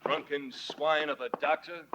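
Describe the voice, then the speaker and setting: An actor playing a courtly, disdainful gentleman with formal speech in a 1940s radio western. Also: fairly high pitch, steady tone, measured pace, highs cut off, lightly acted. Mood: contemptuous. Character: courtly, haughty, contemptuous frontier gentleman. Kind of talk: 1940s radio drama acting. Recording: hissy 1940s radio broadcast.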